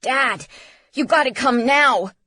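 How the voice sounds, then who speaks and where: A woman's voice: on the high side, moderate pace, a bit bright. Woman voicing a tense, agitated teenage boy. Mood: panicked, shaken. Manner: tense, emotional. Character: earnest, agitated teenage boy, son of worried parents.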